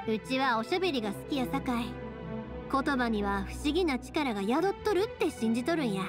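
A woman voicing a chatty, warm-hearted young fireworks maker with a Kansai accent in an anime-style game dub. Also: high-pitched, lively intonation, fairly quick, over loud music. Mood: earnest.

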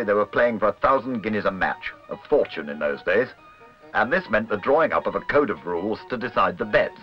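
A man reading scripted documentary narration. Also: lowish voice. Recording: muffled old film soundtrack with music. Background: music.

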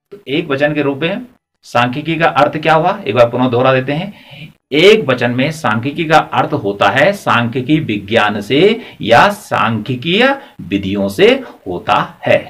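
A man in his forties gives a blackboard lecture into a lapel mic, his voice rising and falling in a lively, animated way. He explains patiently, repeats key points, and keeps asking the class rhetorical questions. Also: mid-range voice. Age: 40s. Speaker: teacher. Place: classroom at a chalkboard.

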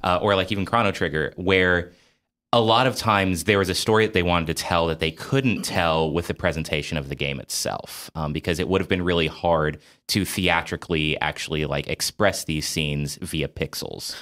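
A man's voice: low voice, fairly even intonation, moderate pace, fairly bright tone. A man hosting a casual podcast discussion about games, talking in a hesitant, wordy, circling way. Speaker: podcast host.

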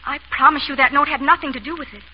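An actress playing an anxious, earnest society wife who pleads formally, in a 1930s radio melodrama. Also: female voice, high-pitched, fairly even intonation, very fast, natural voice. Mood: anxious, pleading. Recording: muffled, hissy 1930s radio recording.

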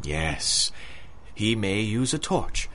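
An actor playing an arrogant, obsessive big-game hunter in a theatrical 1930s-style radio drama, with a pompous, husky delivery. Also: male voice, fairly low pitch, big pitch swings, slow, a bit bright, a little hiss or hum, exaggerated voice. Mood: tense, commanding.

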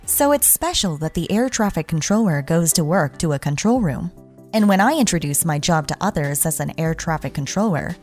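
A woman's voice: lowish voice, darkish tone. Woman narrating an explainer over music. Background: background music.

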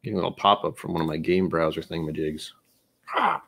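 A man's voice: rumbling low, fast, gravelly, fairly bright tone, a little hiss or hum. A man in his thirties chatting casually on a home livestream, rambling and self-mocking, sometimes trying out joke accents. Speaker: streamer. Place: home room with shelves of bottles.

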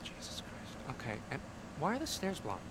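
A sarcastic young camp counselor, his lines swinging up and down with exasperation. The game audio carries a steady wash of ambient noise. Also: fairly high pitch, moderate pace. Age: young adult. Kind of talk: cinematic video game dialogue.

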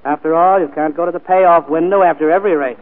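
An American actor playing a sly, cheeky manservant and scheming brother in a 1940s radio drama, slangy and mock-deferential. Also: male voice, a little high, steady tone, fast talker, natural voice. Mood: sly, needling. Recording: tinny, band-limited 1940s radio broadcast.

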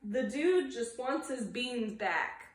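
A woman's voice: fairly high pitch, expressive, slow, reverberant. A woman voicing a tiny male chihuahua sidekick in a children's storybook, laid-back and earnest. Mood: matter-of-fact.

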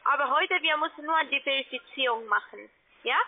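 A woman who is not a native speaker, talking as a call centre agent over the phone in halting, broken grammar, insistently. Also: high-pitched. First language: not German. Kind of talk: phone sales pitch. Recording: narrow telephone line.

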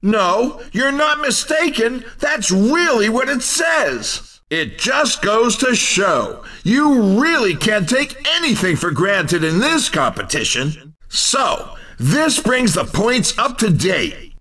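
A man's voice: high-pitched, big pitch swings, slow, bright, forceful tone, distant mic, full character voice. A man playing an exuberant, upbeat race commentator in a video game, with punchy, theatrical, emphatic delivery. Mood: excited, celebratory. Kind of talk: excited arcade racing game announcing.

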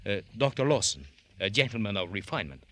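A florid, courteous travel agent with a Spanish accent in an old radio play. His fairly low voice is lively and formal, rising and falling freely. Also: fast talker. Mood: philosophical, suave. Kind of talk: old-time radio crime drama acting.

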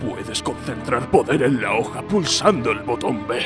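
A man's voice: medium pitch, expressive, moderate pace, lightly acted. A man voicing a grave, fatherly fantasy mentor giving instruction in a parody cartoon dub. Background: music.

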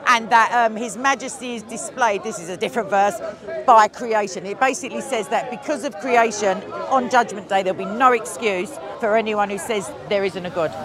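A woman in her forties debating religion outdoors in a city park on a lapel mic, quick, assertive and wry. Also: mid-range voice. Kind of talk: street debate. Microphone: clip-on lapel mic. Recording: crowd murmur behind.

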